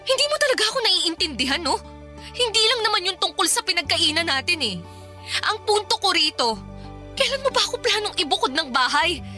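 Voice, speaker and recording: A woman's voice: extremely high pitch, big pitch swings, brisk, bright, forceful tone, faint music behind, heavily acted. A woman playing an exasperated, nagging young wife and mother in a Tagalog radio drama, complaining emphatically.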